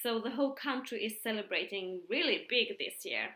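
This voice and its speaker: A middle-aged woman speaking English with a Finland-Swedish accent, her voice bright and fairly high. She chats casually and hesitantly, with many fillers, and the room around her is echoey. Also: lively intonation, moderate pace. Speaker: podcast host. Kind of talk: casual chat. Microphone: computer mic.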